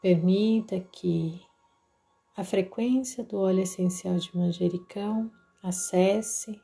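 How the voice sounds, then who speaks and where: A woman with a Brazilian accent narrating a guided meditation in a soothing, deliberate way, leaving long pauses. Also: mid-range voice, creaky, mellow. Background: background music.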